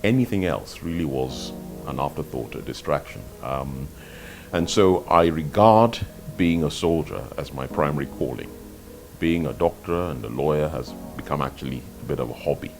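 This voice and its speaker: An older man from Nigeria, an author answering interview questions in a measured, articulate way, often repeating words as he thinks. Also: extremely low pitch, lively intonation, creaky, over music. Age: 50s or older. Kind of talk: reflective interview talk.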